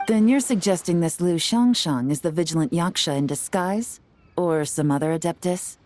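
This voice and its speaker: Casting: actress for composed female investigator in a game, lower register, measured, probing, self-assured. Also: a little mellow, dry recording, close to an everyday voice. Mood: reasoning. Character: cool, composed, shrewd adult female investigator. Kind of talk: video game voice acting.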